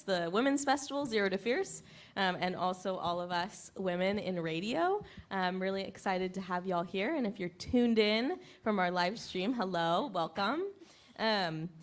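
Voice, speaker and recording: A woman's voice: mid-range voice, a bit bright. A woman hosting a live panel before an audience, introducing it in an informal, welcoming way. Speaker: panel moderator, community radio host. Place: live event at a playhouse, on a live stream.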